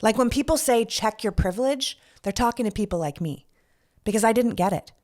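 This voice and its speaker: A woman's voice: fairly high pitch, animated, fairly quick, a little mellow. Middle-aged woman from Canada, podcast host, earnest scripted monologue, blunt and a little profane. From Canada, Toronto. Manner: earnest, candid, with casual swearing and rhetorical questions.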